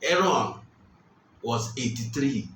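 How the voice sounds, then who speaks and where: Male preacher, slow, emphatic delivery, repeats key lines, mid pitch, distant mic in an echoey room. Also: very animated, a bit bright, a little hiss or hum. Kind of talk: sermon. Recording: roomy, distant mic, faint ambience.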